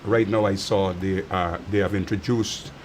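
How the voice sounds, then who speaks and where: A man's voice: low-pitched, steady tone, normal speed, a little mellow, a little hiss or hum. A man hosting an interview, who murmurs short replies and asks the guest questions. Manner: gives frequent short backchannels, asks questions. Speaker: interview host. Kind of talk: studio interview.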